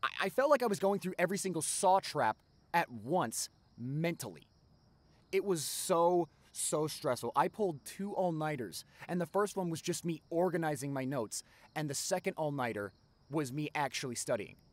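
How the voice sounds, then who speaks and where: A man's voice: fairly high pitch, animated, normal speed. A young man playing a caring, chatty college best friend in an ASMR roleplay, talking casually and directly to the listener. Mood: animated, playful.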